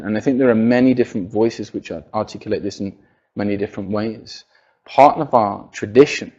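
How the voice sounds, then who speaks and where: A man with a quiet voice giving a religious lecture to an audience, hesitant, with many fillers and restarts. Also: fairly low pitch. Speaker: speaker giving a talk. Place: university hall. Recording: dull lo-fi sound.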